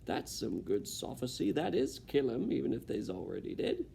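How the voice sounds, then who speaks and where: A man voicing a dim, rough-spoken young servant girl who shouts in slurred country grammar. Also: medium pitch, very animated, moderate pace, slight background noise. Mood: blunt shouting.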